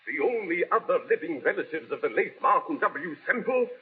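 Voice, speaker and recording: An American actor playing a smooth, formal prosecuting lawyer in a 1930s radio drama, pressing and oratorical. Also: male voice, fairly high pitch, sing-song, a little fast. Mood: formal, declaiming. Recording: noisy, narrow-band old radio broadcast.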